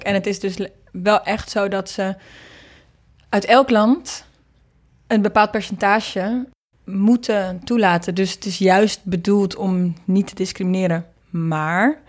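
A woman from the Netherlands, being interviewed and explaining things informally, thinking aloud as she goes. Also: mid-range voice. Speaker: interviewed singer and producer. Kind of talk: casual interview conversation.

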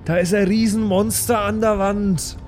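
A man's voice: high voice, little pitch movement, moderate pace, darkish tone, a little hiss or hum. A man with a Franconian accent, chatting casually as a player in an improvised role-play podcast.

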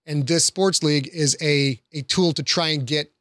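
A man's voice: a little high, moderate pace, fairly bright tone, dry recording, clean studio sound. A man, an author talking as a guest in a casual discussion and explaining his thoughts.

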